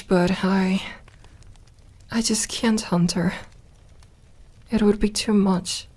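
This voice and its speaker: A woman voicing a lonely, guarded yet tender immortal vampire girl in an intimate ASMR roleplay, speaking softly and haltingly. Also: medium pitch. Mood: wistful, pained. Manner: soft, hesitant, halting delivery with sighs.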